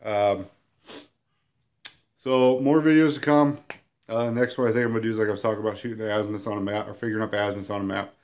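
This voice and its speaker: Husky-voiced guy in his thirties with a cold, low voice, casual self-deprecating teacher talking to a camera at home. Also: steady tone, fast, long pauses, darkish tone. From Illinois. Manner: rambling and self-deprecating, many fillers. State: hoarse from a cold, sniffling. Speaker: outdoors channel host. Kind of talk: casual whiteboard lesson. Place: small room at home, indoors.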